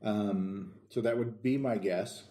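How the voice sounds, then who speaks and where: Male co-host, lowish and very mellow, hesitant casual delivery, roomy echo. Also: slow pace. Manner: hesitant, thinking aloud. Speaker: podcast co-host guessing answers. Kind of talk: casual chat. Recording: echoey room.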